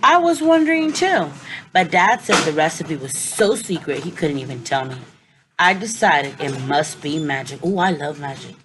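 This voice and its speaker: A woman teacher reading a picture book aloud to children, tossing in playful asides between lines. Her voice is low yet lively, rising and falling with every turn of the story. Clear hiss and clicks sit under the recording. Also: normal speed. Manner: expressive, with playful asides to young listeners.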